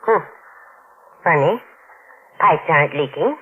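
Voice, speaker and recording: A narrow old radio recording with faint noise carries a no-nonsense landlady. She is nosy and suspicious, with blunt, animated delivery in 1940s drama style. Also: low voice, slow pace.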